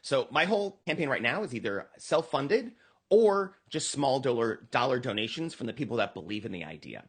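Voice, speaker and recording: American male, political candidate in a podcast interview; lively inflection, a touch of husk, earnest and emphatic. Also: mid-range voice, normal speed, breathy edge. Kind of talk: conversational campaign pitch in a podcast interview.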